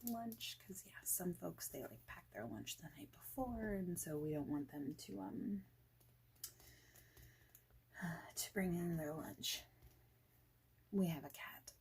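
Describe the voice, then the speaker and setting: Casting: woman for an informal boss in ASMR roleplay; lowish, dark-toned soft voice, near whisper, rambling and relaxed. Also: poor audio. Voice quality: soft, breathy, often dropping to a near whisper. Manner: casual, hesitant, rambling with many pauses and "like". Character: friendly informal female office boss. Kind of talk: soft-spoken ASMR roleplay, informal job interview chat.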